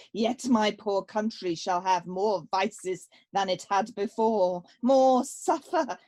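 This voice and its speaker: A grave young prince in exile played by a woman speaks Shakespearean verse in a mid-range voice. The delivery is slow and deliberate, with weighty dramatic pauses, as he accuses himself in a radio play. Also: mid-range voice, warm tone, close to an everyday voice. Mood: grave, sorrowful.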